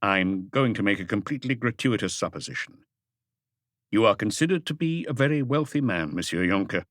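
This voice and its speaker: A man voicing a patient, methodical police detective in an audiobook, formal and deliberate as he questions. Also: on the low side, expressive, a bit bright, dry recording, studio quality. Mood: measured, firm. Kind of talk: audiobook character dialogue.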